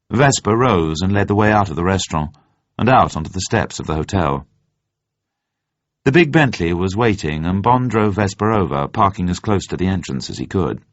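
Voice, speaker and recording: A man reading scripted fiction as narrator, with measured, deliberate storytelling. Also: low-pitched, dry recording, muffled recording. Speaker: narrator, reader.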